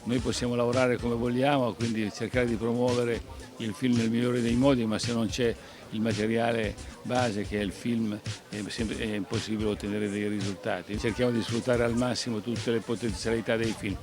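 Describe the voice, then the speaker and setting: A man's voice: mid-range voice, flat delivery, normal speed. A man speaking as a film distributor in a plain, pragmatic interview answer. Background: music.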